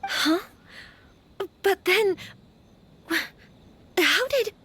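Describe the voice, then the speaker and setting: A woman's voice: very high voice, animated, very slow, fairly bright tone, full character voice. A woman playing a polite, earnest young noblewoman in a fantasy game, courteous and hesitant in her formal speech. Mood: hesitant, unsettled. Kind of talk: dramatic fantasy game dialogue. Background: faint music.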